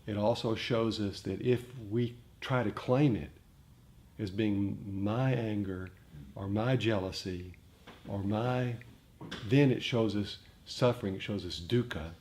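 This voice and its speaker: A man giving an informal dharma talk to a group as a meditation teacher, speaking in a halting, reflective way and often rephrasing. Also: lowish voice, darkish tone. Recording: noticeable background noise.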